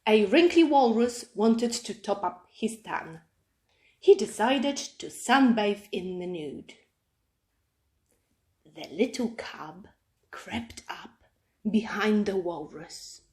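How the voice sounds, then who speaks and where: Woman, children's story reader, lively intonation, dramatic delivery, slow measured pace. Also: medium pitch. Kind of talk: expressive storybook narration.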